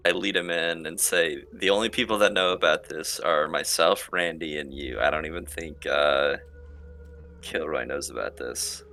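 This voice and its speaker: A man casually playing a tabletop roleplaying game, improvising his character's words and actions in a halting way. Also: extremely low pitch, sharp tone, soft background music.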